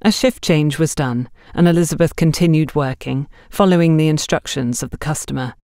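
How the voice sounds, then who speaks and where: Low-voiced woman narrating a story, close and dry. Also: a little fast, dark tone, no room sound. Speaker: audiobook narrator.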